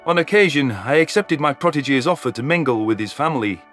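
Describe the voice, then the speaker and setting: A man's voice: mid-range voice, lively intonation, brisk, a bit bright. Man narrating over music. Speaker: audio story narrator. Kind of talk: scripted first-person sci-fi narration. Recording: music under the voice.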